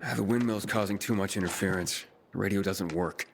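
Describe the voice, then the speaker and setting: A man's voice: sits low, a little fast, noisy background. An actor playing a wary, sceptical young male survivor in a video game, blunt and questioning. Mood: doubtful. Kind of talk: video game cutscene acting.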